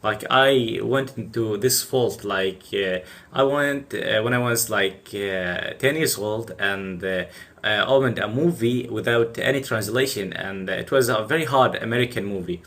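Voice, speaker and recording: A young man whose first language is Arabic, hosting a casual conversation and speaking hesitantly with many pauses. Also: on the low side, a bit bright. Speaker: podcast host.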